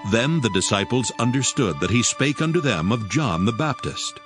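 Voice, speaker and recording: A man's voice: medium pitch, animated, moderate pace. A man narrating a scripted King James Bible reading. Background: music.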